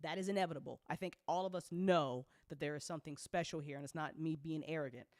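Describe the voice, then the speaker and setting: A woman's voice: deep voice, steady tone, quick pace, a little mellow, no room sound, studio quality. A woman hosting a casual update talk as an audio drama producer, speaking bluntly and informally with playful slang and free swearing.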